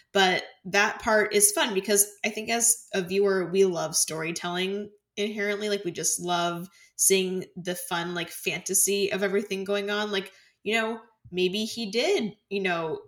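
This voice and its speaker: A woman's voice: medium pitch, fairly bright tone. A woman co-hosting a casual film discussion, explaining her thoughts in a conversational, rambling way. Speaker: podcast co-host discussing a film.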